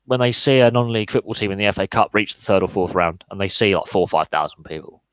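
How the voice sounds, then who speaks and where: A man's voice: low voice, fast, smooth, dry recording. Opinionated man, dry close mic. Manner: opinionated, answers chat live, many fillers and repeated words. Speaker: podcast host. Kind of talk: live Q&A podcast monologue.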